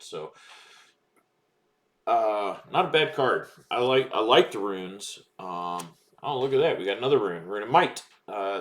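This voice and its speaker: A man's voice: sits low, a bit bright. A man casually commenting as he opens booster packs, reading card text aloud with plenty of ums and uhs. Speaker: card-opening streamer.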